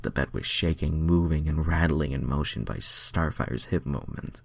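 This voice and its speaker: A man with a low voice reads a fan story aloud in an amateur style. He stumbles over lines and drops into casual, self-deprecating asides, and the sound is dry. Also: normal speed, creaky, no echo. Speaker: reader of fan fiction.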